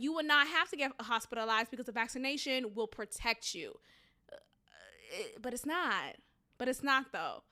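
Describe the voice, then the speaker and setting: A woman's voice: sits high, bright, forceful tone. A young woman with a Boston accent hosting a solo opinion podcast, talking in an opinionated, sarcastic and emphatic way. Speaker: podcast host.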